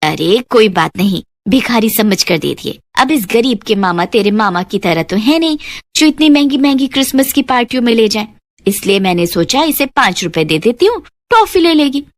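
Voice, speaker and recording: A woman's voice: on the high side, big pitch swings, moderate pace, no echo. A woman voicing a haughty, condescending rich aunt in a moral story, bossy and dismissive. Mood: scornful. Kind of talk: children's moral story voice acting.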